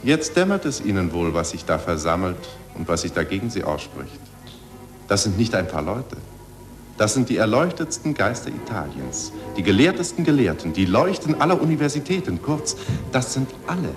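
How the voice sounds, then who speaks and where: An actor playing a smug, coldly polite official in a 1940s German radio drama, sardonic and emphatic. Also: male voice, lowish voice, very animated, moderate pace, music in the background. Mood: gloating. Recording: old 1940s radio recording.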